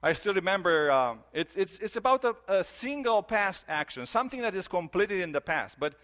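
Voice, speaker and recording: A man preaching a sermon in English, his second language, earnest and repeating key phrases, slowing down for emphasis. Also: sits high, lively intonation, fairly bright tone. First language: not English. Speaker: pastor.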